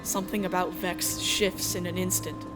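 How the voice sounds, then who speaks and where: A woman's voice: fairly low pitch, little pitch movement, normal speed, natural voice. A woman acting a young runaway heroine in a space adventure fan dub, anguished and defiant, with dramatic, emotional delivery. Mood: tense narration. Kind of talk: dramatic first-person narration of a visual novel. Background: music.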